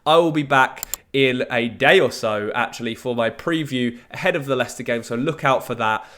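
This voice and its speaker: Young male vlogger, nasal with a head cold, casual and earnest, average pitch. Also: moderate pace, a bit bright. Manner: earnest, pleading fan talk. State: blocked nose from a cold. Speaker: football fan YouTuber. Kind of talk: casual transfer news round-up.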